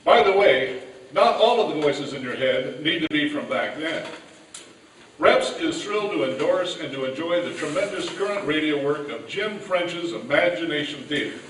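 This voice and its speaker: A man's voice: on the high side, animated, normal speed, a bit bright. A man reading scripted announcements and narration in an old-time radio announcer style on a live stage. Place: large event hall, live stage. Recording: echoey hall, distant mic, faint noise.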